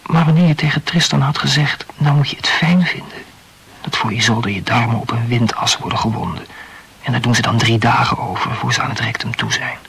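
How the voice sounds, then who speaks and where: Casting: wordy, rationalizing lover for radio drama, very husky and breathy, self-absorbed philosopher. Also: male voice, medium pitch, a little fast, a bit bright, full character voice. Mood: wry. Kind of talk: intimate radio play dialogue.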